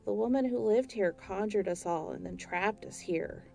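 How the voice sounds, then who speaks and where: A woman voicing a timid, quiet male ghost in a spoken story. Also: medium pitch, moderate pace, vocal fry, soft background music, close to an everyday voice.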